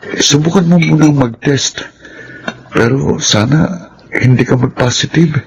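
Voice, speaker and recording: An actor playing an evasive young boyfriend with a hesitant, soft-spoken manner in a Filipino radio drama. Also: male voice, on the high side, unhurried, gravelly, husky, exaggerated voice, rough recording. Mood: startled, wary.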